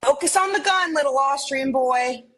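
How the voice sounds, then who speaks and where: A woman's voice: high-pitched, moderate pace, a bit bright. A woman playing an earnest, socially conscious, awkward millennial twin in a comedy table read, with casual phrasing. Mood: awkward bravado.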